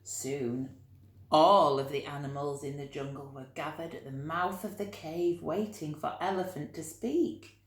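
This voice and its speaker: A woman reading a children's story expressively, with dramatic emphasis and drawn-out key words. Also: low voice, fairly even intonation, echoey room.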